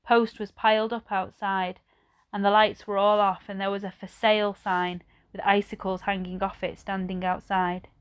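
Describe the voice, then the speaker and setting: A woman reading a children's story aloud like a teacher, with measured, expressive phrasing. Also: mid-range voice, smooth.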